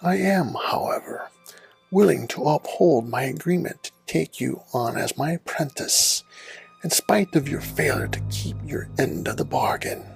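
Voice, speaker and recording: A man playing a stern, imperious master and parent in an audio drama, speaking in a formal, haughty, measured way. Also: fairly high pitch, lively intonation, gravelly, breathy edge, soft background music, full character voice. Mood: haughty, grudging. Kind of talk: audio drama character acting.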